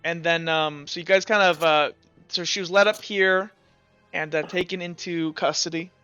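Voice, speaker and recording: A man acting as game master, narrating and improvising in a casual, halting, conversational way. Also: a little high, fairly even intonation, sharp tone, highs cut off, faint music behind.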